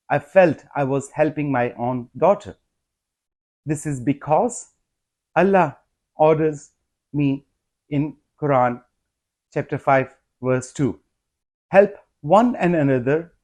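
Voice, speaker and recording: Slow, earnest man. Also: a little high, darkish tone. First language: not English. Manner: earnest, measured, rising to emphatic appeals. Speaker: vlogger. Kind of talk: personal vlog monologue.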